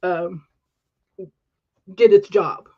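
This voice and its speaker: A woman with an upper-class Canadian accent, talking earnestly in quick fragments as a panelist on a live-streamed chat. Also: fairly low pitch, highs cut off.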